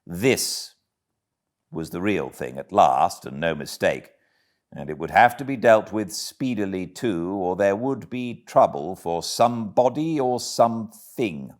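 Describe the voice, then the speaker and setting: A British man narrating a classic storybook as an audiobook reader, with expressive delivery. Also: deep voice, measured pace. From Britain.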